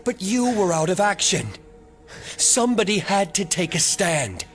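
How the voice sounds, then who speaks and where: An actor playing a solemn, quietly menacing psychic in an audio drama, speaking in portentous statements. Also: male voice, high-pitched, big pitch swings, unhurried, soft background music. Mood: earnest, regretful.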